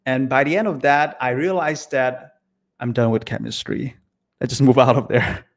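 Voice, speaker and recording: A man's voice: medium pitch, fairly even intonation, normal speed, vocal fry. Malaysian-born man presenting on a video call. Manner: friendly, rambling with many fillers. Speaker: guest presenter. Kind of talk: online presentation.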